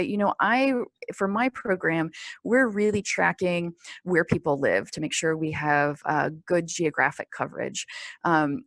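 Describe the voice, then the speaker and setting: Low-pitched, creaky-voiced woman presenting a webinar. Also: no room sound. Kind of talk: informal explanatory webinar presentation. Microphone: conference call or webinar microphone.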